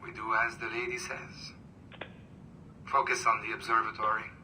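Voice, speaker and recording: An actor playing a harried, apologetic company manager in a video game. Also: male voice, on the low side, monotone, normal speed, pushed and bright, recorded from a distance, slight background noise, rough recording. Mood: resigned. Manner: apologetic, placating. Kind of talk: video game cutscene acting.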